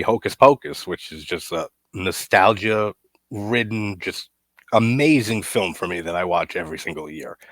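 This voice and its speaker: A man's voice: on the low side, fairly bright tone, no echo. A man in his thirties, congested and coming down with a cold, giving a rambling casual commentary on a horror film, full of fillers and offhand swearing. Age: 30s. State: congested, getting sick.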